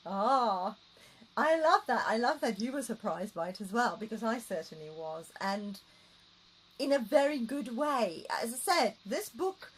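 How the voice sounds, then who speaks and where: Slow, lively-voiced woman interviewer. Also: fairly high pitch, slightly rough, low-quality recording. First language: not English. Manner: enthusiastic, laughs often, with frequent listening sounds. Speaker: interviewer, host. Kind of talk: casual interview.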